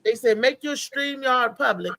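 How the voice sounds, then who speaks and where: A woman's voice: high voice, moderate pace, vocal fry, bright, forceful tone, no room sound. A woman hosting a casual talk show, insistent, repeating her words as she makes her point and quotes others. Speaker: show host. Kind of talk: casual chat.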